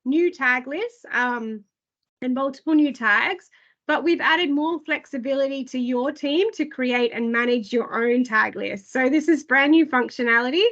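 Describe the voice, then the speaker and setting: A woman giving an online product briefing, slightly hoarse and full of hesitant fillers. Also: high voice, measured pace, fairly bright tone, dull audio. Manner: filler-heavy, frequent "I guess" and "um". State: losing her voice. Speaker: product presenter.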